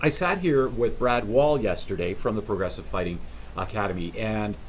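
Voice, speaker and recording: Male host, 50s, chatty and halting with restarts, faint hiss under the voice. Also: fairly low pitch, low-quality recording. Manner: rambling, halting with many restarts. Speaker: talk show host. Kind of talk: casual interview chat.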